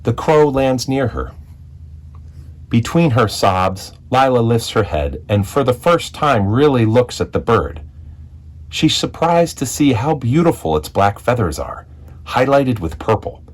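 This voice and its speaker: A man reading a children's picture book aloud as a storyteller, with expressive narration and deliberate dramatic phrasing. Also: medium pitch. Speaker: read-aloud storyteller, school executive.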